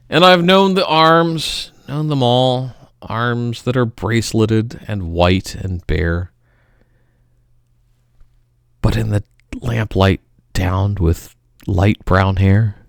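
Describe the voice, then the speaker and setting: A man reads poetry in a slow, melodramatic style. His clean, resonant voice swells on key phrases and drops to hushed endings, with dramatic pauses between them. The sound is close and dry. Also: medium pitch, vocal fry, no room sound.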